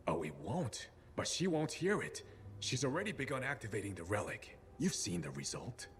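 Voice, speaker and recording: An actor playing a slippery, eccentric captive scientist in a video game, mocking and patronizing in a theatrical way. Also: male voice, medium pitch, sing-song, normal speed, a bit bright, slight background noise. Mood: smug, taunting. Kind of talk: video game cutscene acting.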